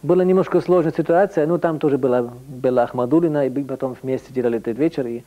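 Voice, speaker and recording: A man in his fifties, a native German speaker with an accent tinged by the Caucasus, telling stories haltingly in a home living room as an interview guest. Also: mid-range voice, dark tone, dull audio. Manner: halting, searching for Russian words. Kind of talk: storytelling in conversation.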